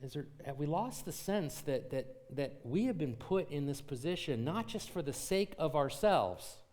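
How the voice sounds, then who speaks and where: A man preaching a sermon as pastor of a church, moving from quiet reflection to rising, emphatic exhortation with repeated phrases and rhetorical questions to the congregation. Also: on the high side, animated, normal speed. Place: church sanctuary.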